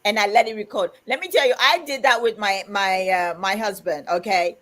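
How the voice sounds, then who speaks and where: A woman co-hosting a live chat stream, telling animated stories, laughing often and reading viewer comments aloud. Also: mid-range voice, normal speed. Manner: animated, laughs often, acts out quoted dialogue. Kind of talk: casual chat, storytelling.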